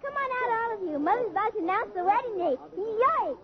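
An actress voicing an excitable young child in a 1950s radio drama. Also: female voice, extremely high pitch, fast talker. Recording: noisy, dull old broadcast.

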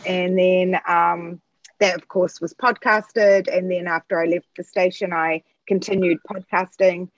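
A woman's voice: fairly low pitch, little pitch movement, measured pace, no room sound, highs cut off. A woman from New Zealand with a Kiwi accent, chatting as a podcast guest about her art work, with lots of fillers and restarts. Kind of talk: casual interview chat.

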